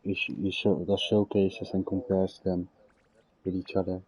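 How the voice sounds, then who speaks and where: Very deep, mellow male game streamer. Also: completely flat delivery, normal speed, slightly rough, poor audio. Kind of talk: casual gaming commentary.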